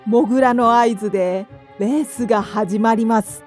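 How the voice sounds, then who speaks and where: A woman's voice: fairly high pitch, moderate pace, darkish tone. A woman narrator reading a scripted children's fairy tale with careful storytelling phrasing. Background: music.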